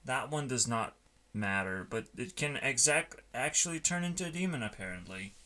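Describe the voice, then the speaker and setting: A man rambling casually in a halting, musing way, often trailing off, as if talking through his work on a stream. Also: mid-range voice, expressive, bright, forceful tone. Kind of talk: rambling casual chat while coding. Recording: noticeable background noise, keyboard clicks.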